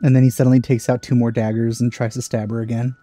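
A man's voice: mid-range voice, flat delivery, fast, dark tone. A man doing casual, wry let's play commentary on a video game. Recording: game music behind the voice. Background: game music.

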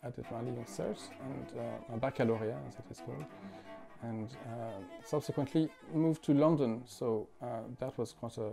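A man in his forties from France, a musician talking thoughtfully at home, with many pauses, fillers and restarts. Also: medium pitch, steady tone, creaky, warm tone, soft background music. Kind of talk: reflective interview talk. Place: living room at home.

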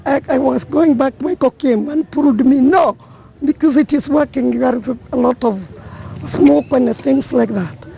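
An older woman speaks in a high, gravelly, hoarse voice. Her tone is dark and mellow, and she retells events in halting bursts. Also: a little hiss or hum, poor audio. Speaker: fire victim. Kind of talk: street interview. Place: outdoors in a crowd.

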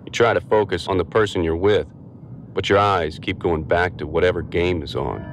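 A deep-voiced, weary space-dock worker. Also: male voice, slight background noise. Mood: bleak, reflective. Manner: reflective, halting, confessional. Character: weary, disillusioned male space-dock worker confessing, haunted. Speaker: voice actor. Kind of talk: scripted audio drama monologue. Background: music behind the voice.

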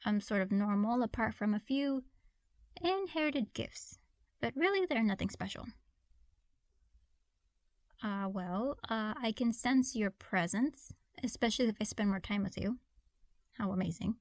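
A woman's voice: mid-range voice, expressive, measured pace, pauses often. Woman acting a nice nervous young witch in an audio roleplay, kinda awkward, apologetic, no room echo. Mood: apologetic, earnest. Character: friendly, awkward young witch new next door.

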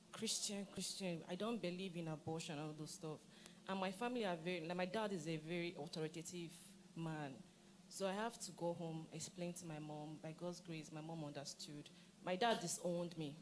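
A young woman tells her story matter-of-factly into a handheld mic in a church crowd. Her voice sits somewhat low, with faint noise behind it. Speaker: congregation member giving testimony. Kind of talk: personal testimony. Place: large church auditorium among the congregation.

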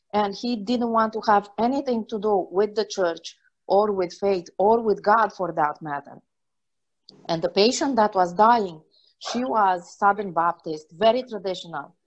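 A woman's voice: mid-range voice, dull audio. A woman in her forties, originally from Romania, who works as a chaplain, tells an earnest personal story during a video-call discussion. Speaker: hospital chaplain. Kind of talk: personal testimony in a group discussion.

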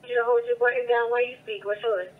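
A woman's voice: on the high side, steady tone, fast. A woman working as a police dispatcher, talking over a two-way radio. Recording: noisy, narrow police scanner radio.

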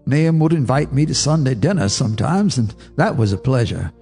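Old farmer telling the story, slow folksy tone, reverby room, faint music under. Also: male voice, medium pitch, animated, warm tone, husky. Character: older male farmer narrating his memories. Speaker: narrator. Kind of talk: radio drama narration. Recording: reverberant radio drama with music.

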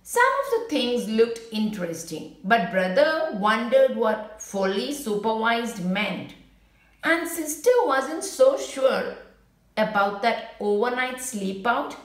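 A woman reading a children's picture book aloud in an expressive storytelling style, with short asides to young listeners. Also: on the high side, slow pace, reverberant, rough recording.

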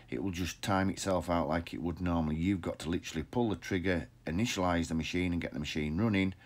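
Middle-aged guy, very low voice, explaining machines in his workshop, repeats himself a lot, phone up close. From England. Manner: plain-spoken, repeats words and restarts, imitates machine sounds. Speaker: pressure washer business owner. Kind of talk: informal how-to explainer. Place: indoor workshop with equipment shelves. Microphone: phone camera held close below the face.

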